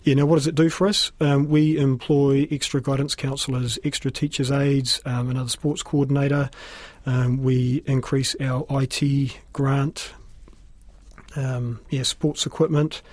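Husky New Zealand man, evenly pitched. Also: little pitch movement, a little slow, darkish tone, no room sound. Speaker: school principal being interviewed. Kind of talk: explanatory interview talk.

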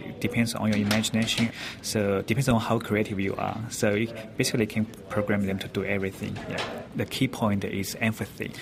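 A man's voice: fairly low pitch, gravelly. A man with a Chinese accent explaining things in an interview in hesitant, non-native English. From China. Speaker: robotics company representative. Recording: noisy recording at a public event.

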